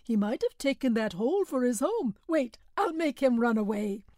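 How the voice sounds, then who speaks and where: A woman's voice: on the high side, sing-song, normal speed, mellow, dry recording, studio quality. A woman voicing a jovial village joker man who teases in a boisterous way. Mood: mocking. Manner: teasing, boisterous.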